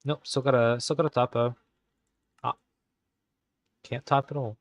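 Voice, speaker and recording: A man's voice: medium pitch, fairly even intonation, measured pace, no echo, muffled recording. A young man live-streaming in a put-on Irish accent that slips now and then, chatting casually and muttering to himself while he types game commands.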